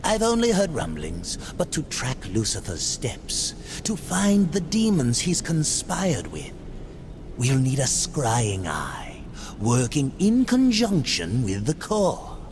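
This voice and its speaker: Game demon trader: oily, formal, scheming flatterer; slow, very animated pitch, noisy backdrop. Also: male voice. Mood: scheming, measured. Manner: unctuous, formal, deliberate, ornate speech. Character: sly, courtly male demon merchant, flattering and scheming. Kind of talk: video game cutscene acting.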